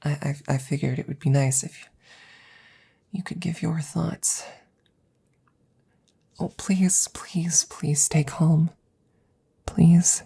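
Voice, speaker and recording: Woman acting as a hushed, halting, anxious scientist friend. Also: low voice, steady tone, heavily acted. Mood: nervous, encouraging, then pleading, soothing. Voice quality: breathy, whispery, gravelly. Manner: halting, stammering, hushed, intimate. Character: anxious, devoted female scientist tending her best friend. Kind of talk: ASMR audio roleplay.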